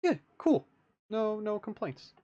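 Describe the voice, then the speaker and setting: A man's voice: high-pitched, very animated, takes it slowly, mellow, highs cut off. A man hosts a reaction video in a casual, easygoing way. His phrasing is hesitant and the sound is dry, without room echo.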